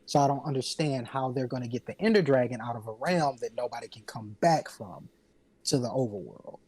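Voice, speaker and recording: A man's voice: mid-range voice, lively intonation, brisk, a little mellow, slightly rough. A man on a casual panel podcast, explaining video game lore earnestly and at length.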